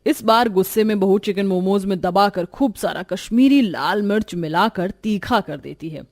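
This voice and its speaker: A woman's voice: mid-range voice, moderate pace, a little mellow. A woman narrator telling a scripted cartoon story.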